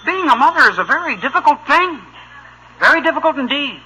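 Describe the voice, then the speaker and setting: An actor playing a pompous, declamatory teenage boy with a raspy voice in a 1950s radio sitcom. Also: very high voice, sing-song, brisk, slight background noise, full character voice, rough recording. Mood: grandly admiring. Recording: bright old radio recording.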